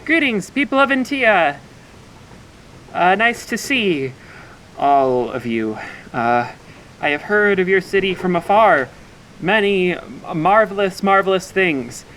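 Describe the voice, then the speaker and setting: A man playing a nervous traveler who makes halting, formal speeches in an audio drama. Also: high-pitched, expressive, fairly bright tone, a little hiss or hum, heavily acted, poor audio. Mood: flustered, awkward. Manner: halting, stammering, throat-clearing formality. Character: nervous young traveler stammering through a speech. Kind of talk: audio fiction acting.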